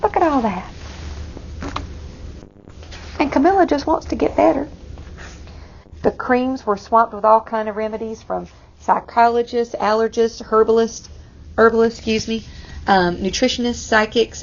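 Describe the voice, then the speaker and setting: High-voiced woman reading to children. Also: normal speed, long pauses. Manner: engaging, asks listeners questions. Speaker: storybook reader for children. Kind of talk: expressive read-aloud with asides to young listeners. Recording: muffled, clearly noisy room recording.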